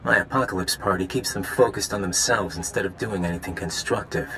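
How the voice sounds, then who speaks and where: Suave low-voiced male villain. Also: a little fast, creaky, pushed and bright, a little hiss or hum, exaggerated voice, poor audio. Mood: cordial, wry. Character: suave male conspirator villain, idealistic, cordially menacing. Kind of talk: video game dramatic acting.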